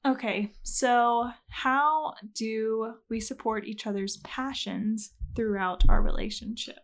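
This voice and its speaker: A woman's voice: on the high side, takes it slowly. A young woman hosting a podcast, chatting earnestly and openly with her husband and laughing often. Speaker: podcast host. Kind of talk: casual chat.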